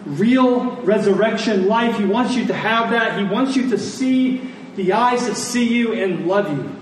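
A man preaching a sermon in a conversational, deliberate way, telling stories and explaining scripture, pausing and repeating phrases for emphasis. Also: high voice. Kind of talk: sermon with storytelling and scripture exposition. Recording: echoey room, distant mic, faint noise.

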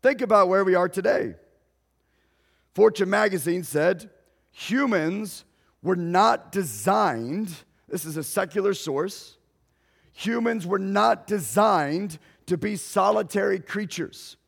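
A male pastor, telling a story in a sermon slowly and deliberately, raising his voice at dramatic moments. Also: high voice, lively intonation, vocal fry. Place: church sanctuary. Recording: long hall reverb.

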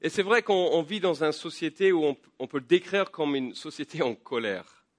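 A man preaching a sermon while he has a head cold. He talks conversationally, with many fillers and repeated words, and his voice climbs higher and louder as he builds to a point. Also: normal speed. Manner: rises in pitch and volume when building to a point. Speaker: pastor. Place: church.

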